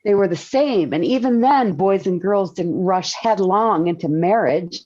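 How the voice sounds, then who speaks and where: An actress playing a calm, wry mother and wife, soothing and gently firm, in 1940s radio sitcom style. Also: medium pitch, measured pace. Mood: wry, reasoning. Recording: muffled old 1940s radio recording.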